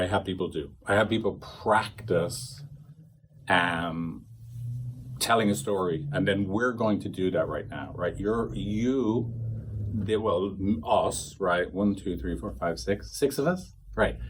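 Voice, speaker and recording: A man's voice: lowish voice, a little hiss or hum, poor audio. A man training couples therapists, talking casually to a small group, rambling and acting out quoted dialogue.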